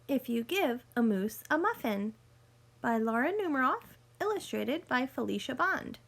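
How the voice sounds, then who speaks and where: High-voiced woman reading to children. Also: sing-song, creaky, a bit bright. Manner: deliberate, explanatory, addressing young listeners with questions. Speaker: teacher reading a picture book aloud to children. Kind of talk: read-aloud storytelling with teaching asides.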